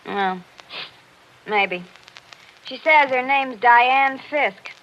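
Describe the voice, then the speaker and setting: A woman's voice: sits high, very slow, a bit bright, exaggerated voice. A woman playing a saucy, scornful housemaid who mocks her betters, pert, in a 1940s radio drama. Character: saucy, disdainful female housemaid, mockingly mimicking her betters. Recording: dull 1940s radio recording.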